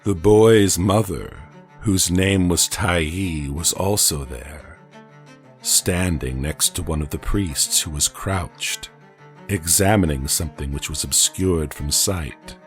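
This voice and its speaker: A man's voice: sits low, faint music behind. A man voicing a solemn angel who recounts an ancient tragedy in a grave, theatrical storytelling manner for an audio comic. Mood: sorrowful, solemn. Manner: grave, portentous, theatrical, dramatic pauses. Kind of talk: dramatic scripted storytelling, audio comic book.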